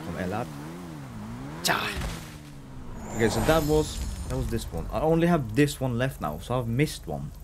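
Low-voiced Norwegian man streaming, noisy background. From Norway. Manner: casual, swears freely. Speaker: live streamer. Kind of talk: casual gaming livestream commentary, chatting with viewers. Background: game ambience with vehicle sounds.

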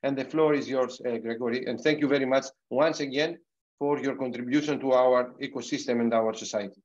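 A man's voice: mid-range voice, steady tone, brisk. A middle-aged man with a non-native English accent hosts an online webinar, introducing a guest with many fillers and restarts over a video call. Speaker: host of an online university webinar. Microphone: computer mic on a video call. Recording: dull, muffled video call audio.

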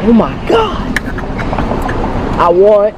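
A man's voice: high-pitched, wildly swooping pitch, drawn-out words. A young man making casual, mock-earnest prank banter as a customer, talking from inside a car. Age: young adult. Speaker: prankster customer at a drive-thru. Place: inside a car at a drive-thru. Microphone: handheld camera in the car. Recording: noisy car and outdoor ambience.

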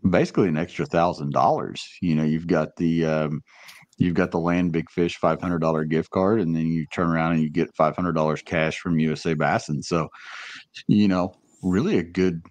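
A man's voice: low-pitched, a little mellow. A man hosting a fishing podcast, asking friendly questions and explaining tournament details. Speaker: podcast host. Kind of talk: casual podcast interview.

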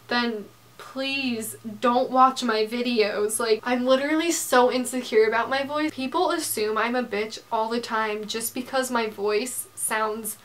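A teenage girl talking straight to the camera in her bedroom, casual and frank, with a flat, monotone delivery, lots of "like" and some swearing. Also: on the high side. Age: teens. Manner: frank, heated, peppered with "like" and swearing. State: tearful. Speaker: YouTuber. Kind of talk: casual confessional vlog. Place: bedroom, indoors.

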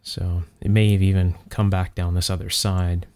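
A man's voice: low voice, level tone, moderate pace, a little mellow, clear, resonant tone. A man hosting a hands-on electronics repair demonstration, explaining methodically step by step as he works. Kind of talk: hands-on repair demonstration, talking while working.

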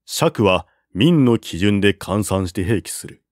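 A man reading a classic war novel aloud as narrator, in a measured, deliberate way. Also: fairly low pitch, animated, no room sound, clean studio sound. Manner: measured, deliberate reading with raised voice for quoted generals.

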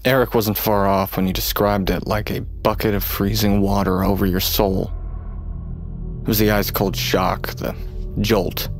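Low-voiced, haunted, bitter young man, played by an actor. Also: level tone, a little slow, soft background music, lightly acted. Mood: haunted, brooding. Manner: terse, guarded, brooding. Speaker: narrator and lead character. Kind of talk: audio drama acting and first-person narration.

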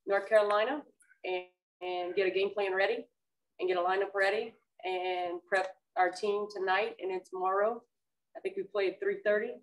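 Woman coach, postgame press answers, slightly distant mic, mid-pitched, plain delivery. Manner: plain, repeats words while thinking. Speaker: softball coach. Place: press room, seated at a table.

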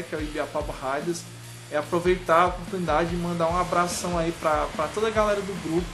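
Man, halting talk over music. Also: fairly high pitch. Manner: halting, frequent "né" tags. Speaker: motorcycle vlogger. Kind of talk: casual monologue to camera. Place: small room at home, indoors.

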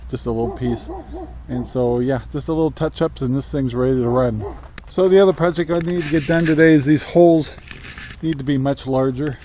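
Older man, mellow voice, handheld camera outdoors, faint background noise, relaxed filler-heavy chat. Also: medium pitch, low-quality recording. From United States. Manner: easygoing, rambling with many "uh" fillers. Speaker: homesteading vlogger. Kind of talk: casual how-to vlog. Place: outdoors in a yard and inside a greenhouse. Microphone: camera held at arm's length.

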